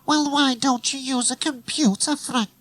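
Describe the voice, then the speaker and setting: A man's voice: very high-pitched, fairly even intonation, measured pace, fairly bright tone, no echo, pitched above the performer's own voice, full character voice. A man voicing a cheeky, contrary little boy ventriloquist puppet with pert backchat in a comic taped story.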